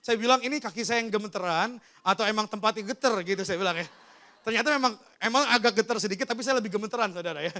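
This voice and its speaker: A man's voice: high-pitched, rapid-fire, pushed and bright. A man, a pastor sharing a personal testimony in an informal storytelling way, often addressing his congregation directly.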